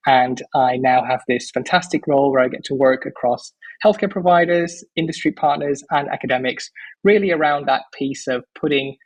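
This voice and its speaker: A man's voice: mid-range voice, normal speed, low-quality recording. Enthusiastic, articulate man from the Netherlands. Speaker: podcast guest, physician. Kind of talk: podcast interview.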